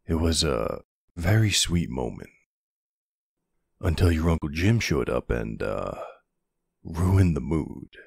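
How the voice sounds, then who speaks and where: A man playing a protective, gruff but tender older boyfriend in an ASMR audio roleplay, unhurried and plain-spoken, with a husky, gravelly voice. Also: rumbling low, sing-song, no room sound, clean studio sound, heavily acted. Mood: tender, reassuring.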